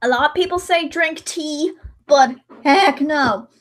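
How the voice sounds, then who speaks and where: A woman's voice: high-pitched, a little slow. A woman chatting casually as host of a livestream, in a talkative, informal way full of exclamations.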